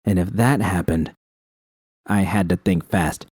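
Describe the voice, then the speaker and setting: A man narrates a scripted first-person story. His voice is deep and somewhat dark. The recording is dry and close, like an audiobook. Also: a little slow, breathy edge, dry recording.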